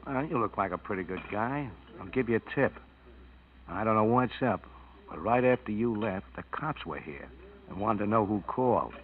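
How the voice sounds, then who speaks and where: A man's voice: fairly low pitch, expressive, moderate pace, slight background noise, close to an everyday voice. An actor playing a chatty, friendly coffee shop counterman with casual, slangy talk in a 1940s radio drama. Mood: confiding. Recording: thin, phone-like old radio recording.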